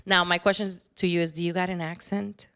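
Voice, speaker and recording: A woman's voice: on the low side, little pitch movement, a little fast, fairly bright tone, smooth. A woman with a Spanish-speaking Caribbean accent hosting a podcast interview, effusive and affectionate, laughing often. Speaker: podcast host. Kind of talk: casual interview chat.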